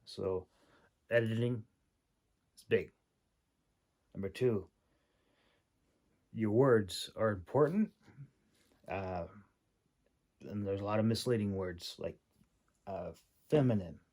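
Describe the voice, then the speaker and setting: A man giving an informal, unscripted critique in a halting, hesitant way, with many pauses and repeated words. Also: on the low side, darkish tone. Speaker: audiobook narrator and manuscript critic.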